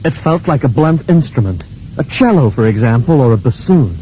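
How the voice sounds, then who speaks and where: A man playing a deadpan, wry American detective narrating a comedy radio play, with an American accent. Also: mid-range voice, moderate pace, slight background noise, natural voice, rough recording. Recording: radio taped to cassette, faint music.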